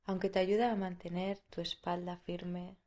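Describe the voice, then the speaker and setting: Female meditation guide, Spain accent. Lowish register, flat steady intonation, soothing delivery with long pauses.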